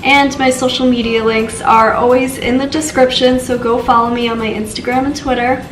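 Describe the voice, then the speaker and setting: A woman's voice: a little high, level tone, moderate pace, slight background noise. A young woman with a stuffy, congested voice, chatting casually through a baking tutorial as the channel's host. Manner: chatty, lots of "so" and "just". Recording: roomy, from a distance, faint music.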